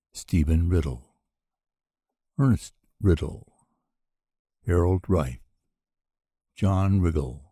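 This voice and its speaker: A man with a deep, dark and slightly husky voice reads a formal roll call of names. He goes extremely slowly and solemnly, leaving a pause after each name. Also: no room sound, studio quality. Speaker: reader of a memorial roll call. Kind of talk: formal read-out list of names.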